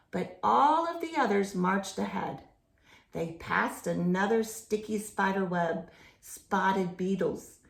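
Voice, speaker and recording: A woman reading a picture book aloud to children in an expressive, explanatory storytelling manner. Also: medium pitch, creaky, echoey room. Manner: explains to young listeners with simple questions and expressive emphasis.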